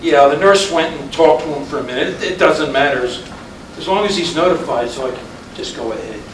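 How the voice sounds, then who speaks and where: A man's voice: fairly high pitch, fairly quick, natural voice. A man reading the part of an easygoing, pragmatic husband in casual staged-play dialogue. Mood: casual, matter-of-fact. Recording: distant mic in echoey, noisy room.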